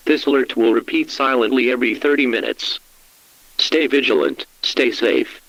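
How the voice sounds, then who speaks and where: A man's voice: mid-range voice, normal speed, gravelly, a bit bright, dry recording, low-quality recording. A man voicing an impersonal, solemn emergency alert announcer, reading scripted official notices in a formal, instructional way. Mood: impersonal, urgent.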